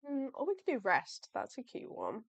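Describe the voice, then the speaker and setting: Chatty young woman, voice going hoarse. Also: on the high side, wildly swooping pitch, moderate pace, no echo. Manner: chatty, thinks aloud, friendly and apologetic. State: losing her voice. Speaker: artist live-streaming shop orders. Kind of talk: casual livestream chat. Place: home workspace, packing orders.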